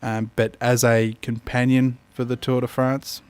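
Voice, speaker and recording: A man's voice: medium pitch, little pitch movement, a little slow, smooth. A man with an Australian accent hosts a casual review, explaining things in a hesitant way. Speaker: host of an app review show.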